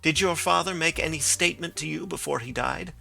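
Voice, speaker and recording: A stern coroner presses his questions in a formal manner, as a male narrator reads him. The gravelly, crackling voice carries a forceful brightness. It belongs to an audiobook of a classic story. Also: a little high, fairly quick, faint music behind, pitched above the performer's own voice, exaggerated voice.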